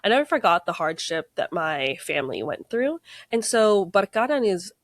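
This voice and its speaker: A woman's voice: mid-range voice, expressive, normal speed, dry recording, clean studio sound. A woman with an American accent, a game co-creator chatting casually in an interview, rambling with many fillers and frequent laughs. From United States. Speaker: tabletop game co-creator, guest.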